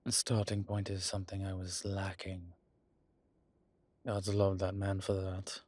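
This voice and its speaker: Male actor as brooding vampire lead for audio drama: husky, low, slow, proud and weary. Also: monotone, darkish tone, dry recording. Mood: resolute, proud. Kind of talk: dramatic audio roleplay acting.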